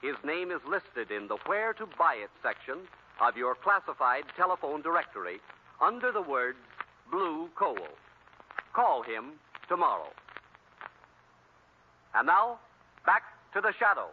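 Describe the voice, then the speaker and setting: Male announcer, highish animated pitch, 1940s commercial delivery. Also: a little slow, pauses often. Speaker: radio announcer. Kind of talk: 1940s radio commercial read. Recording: noisy, tinny 1940s radio recording.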